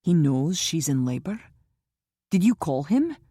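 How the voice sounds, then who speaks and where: A woman voicing a calm, protective man who speaks in a measured, reassuring and sometimes grimly insistent way in an audiobook. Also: deep voice, breathy edge, dry recording, studio quality, exaggerated voice. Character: calm, protective older man, steady and wry.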